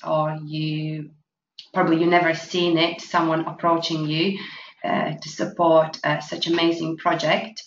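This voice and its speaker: A woman from Latvia presenting an investment opportunity, speaking halting full of fillers. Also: fairly low pitch, a bit bright. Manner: halting, many fillers, non-native grammar. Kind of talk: promotional presentation. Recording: echoey, distant, dull lo-fi with faint noise.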